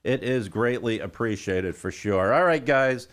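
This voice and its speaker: A man's voice: mid-range voice, expressive, a little slow, a bit bright. A man hosting a music radio show, chatting informally with listeners and guests with a showman's patter. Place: radio studio.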